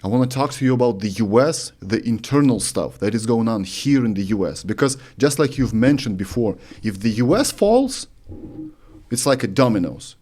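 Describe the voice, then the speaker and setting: A man from Lithuania, hosting an interview in earnest, slightly halting English. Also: medium pitch, expressive, a little mellow. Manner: earnest, slightly halting, non-native phrasing. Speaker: host. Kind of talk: interview questions.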